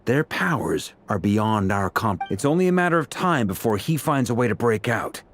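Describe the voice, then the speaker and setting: An actor playing an affable, wry veteran knight commander in a video game, speaking in a down-to-earth, conversational way. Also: male voice, on the low side, very animated, moderate pace. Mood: casual, easygoing. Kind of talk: naturalistic video game voice acting.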